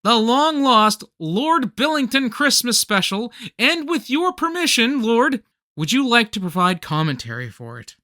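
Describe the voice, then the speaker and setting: An actor playing a grateful, earnest party host who talks as if making a speech in a radio play. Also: male voice, very high-pitched, big pitch swings, unhurried, pushed and bright, no room sound, clean studio sound, heavily acted. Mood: grateful, excited.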